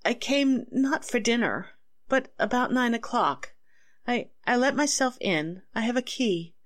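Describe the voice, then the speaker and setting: A woman playing a distraught accused wife in 1940s radio melodrama, halting, anxious and pleading. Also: fairly high pitch, animated.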